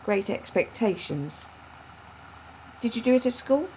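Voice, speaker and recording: A woman's voice: on the high side, big pitch swings, a little slow, a little mellow, slight background noise, poor audio. An actress playing a frank, wry, grieving woman in a naturalistic radio drama, speaking reflectively and repeating phrases for emphasis. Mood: wistful, wry. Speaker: radio play actor.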